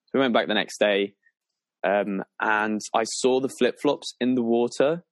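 A man's voice: fairly low pitch, unhurried, no echo. A nineteen-year-old man co-hosting a casual podcast, telling stories with lots of hesitant restarts. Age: young adult. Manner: hesitant, self-correcting storyteller. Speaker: podcast co-host.

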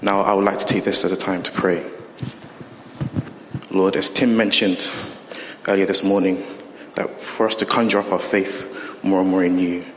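Low-voiced man reading in an echoey church. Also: level tone, slight background noise. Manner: earnest, slightly halting reading. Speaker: church member leading reading and prayer. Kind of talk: scripture reading and prayer. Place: church building.

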